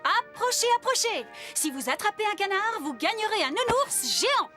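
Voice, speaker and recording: A woman voicing a brisk, bustling female rabbit shopkeeper in a children's cartoon dub. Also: very high voice, big pitch swings, loud music behind the voice. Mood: calling out. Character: brisk, chirpy adult lady rabbit vendor.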